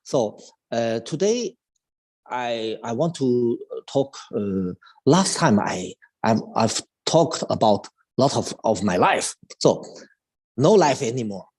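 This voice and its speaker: A man in his fifties from China, a native Chinese speaker with a Chinese accent, talks as a cello teacher in a live online chat. He speaks haltingly, with many restarts and fillers. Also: mid-range voice, very animated, a little mellow, rough recording. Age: 50s.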